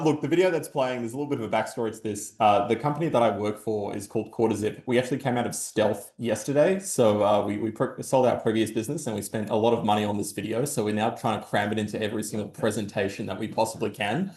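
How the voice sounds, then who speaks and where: A man with a loud voice gives a casual conference talk at a podium microphone, blunt and full of filler sounds and the odd swear. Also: on the low side, fast talker. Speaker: conference speaker. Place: large conference hall. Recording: roomy hall echo.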